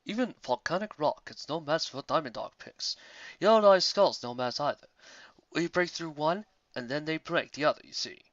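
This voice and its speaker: Man doing a gruff, boastful dog-creature. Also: fairly high pitch, lively intonation, moderate pace, fairly bright tone, no room sound, dull audio. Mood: scornful. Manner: blunt, scornful, rough phrasing. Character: gruff, boastful male diamond dog digger.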